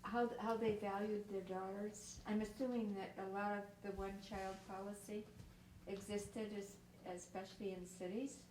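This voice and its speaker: Mellow woman in a roomy space. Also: mid-range voice, little pitch movement, normal speed. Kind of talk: casual listening responses and questions. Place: indoor room at a public library event. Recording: roomy, distant mic, faint noise.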